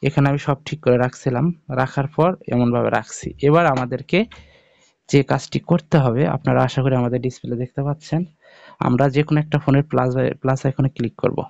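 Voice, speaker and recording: A man walks viewers through steps in an informal tutorial style, often checking that they follow. His voice is mid-pitched and relaxed with a soft, dark tone. The recording is dry and somewhat dull, lacking crispness. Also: fast talker, dry recording. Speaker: tutorial video host. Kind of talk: informal step-by-step phone app tutorial.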